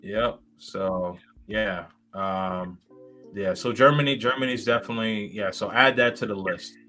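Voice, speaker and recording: A man's voice: on the low side, measured pace, pushed and bright, faint music behind. A man in his thirties, a guest in a casual interview, rambling with many fillers and restarts. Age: 30s.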